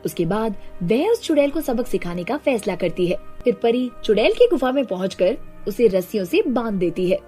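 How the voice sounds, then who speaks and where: A woman's voice: on the high side, very animated, moderate pace, few pauses, warm tone, faint music behind. A woman reading scripted narration for a cartoon story.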